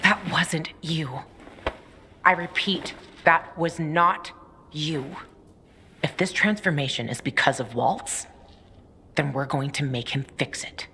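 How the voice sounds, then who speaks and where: Casting: young woman survivor-fighter, game drama; sardonic, fiercely loyal, measured delivery over noisy ambience. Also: low voice, sharp tone, exaggerated voice. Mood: firm, resolute. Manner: blunt, wry. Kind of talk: video game cutscene acting.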